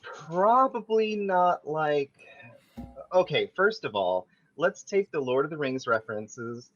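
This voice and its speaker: A man's voice: fairly high pitch, lively intonation, takes it slowly, dull audio, faint music behind. A man reading a vain, bossy young man in an amateur table read. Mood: coaxing. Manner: bossy, coaxing, self-important.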